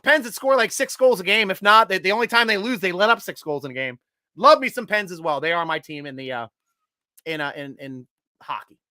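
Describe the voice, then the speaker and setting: Bright-voiced male sports show host. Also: expressive, fairly quick, no echo, clean studio sound. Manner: loose and joking, swings from loud shout-outs to low mutters. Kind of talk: live sports show chat reading viewer comments.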